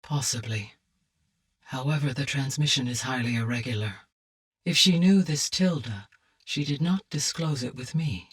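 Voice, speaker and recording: An actress playing a calm, wise, courteous female artificial intelligence guide in a video game, speaking formally with measured precision in a low, husky voice. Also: far from the mic. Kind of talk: scripted video game voice lines.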